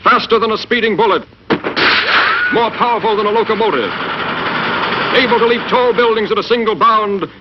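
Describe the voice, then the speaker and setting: A male announcer declaims scripted narration in a very high, dramatic voice, in 1940s serial style. Loud music plays behind him on a dull old film soundtrack.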